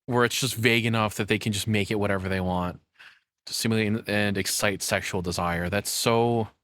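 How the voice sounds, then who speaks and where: A man's voice: on the low side, steady tone, a little fast, fairly bright tone, no echo, studio quality. A man giving casual, rambling reaction commentary, often slipping into imagined quotes as he talks.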